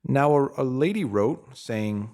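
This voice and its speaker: Man, medium pitch, scripted motivational narration, deliberate delivery, emphatic pauses. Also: animated, warm tone. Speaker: narrator reading a spiritual self-help post.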